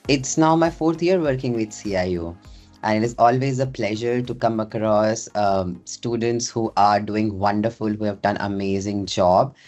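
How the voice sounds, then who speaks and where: A man's voice: on the low side, moderate pace, darkish tone, soft background music. A man hosting a university podcast interview, chatty and friendly, with fillers. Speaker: podcast host, associate dean. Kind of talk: casual interview chat.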